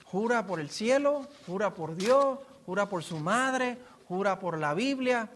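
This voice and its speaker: A man's voice: high-pitched, animated, a little slow, a bit bright, slight background noise. A man with a Puerto Rican accent preaching in an informal, storytelling way, explaining scripture and asking rhetorical questions. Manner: informal, acts out quotes and asks rhetorical questions. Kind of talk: expository sermon, conversational storytelling.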